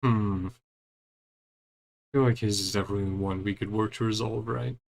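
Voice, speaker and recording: Gravelly-voiced man casually playing a game. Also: on the low side, steady tone, fairly quick. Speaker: gaming streamer. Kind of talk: casual game playthrough commentary, reading dialogue aloud.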